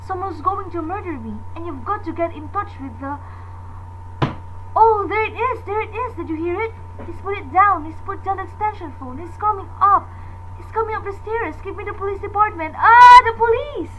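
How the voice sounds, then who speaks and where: A woman's voice: very high-pitched, lively intonation, normal speed, a bit bright, dull audio, slight background noise. A woman playing a panicky, demanding, self-pitying invalid wife in a radio thriller, fretful and imperious. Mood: terrified. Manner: imperious, fretful, breathless pleading.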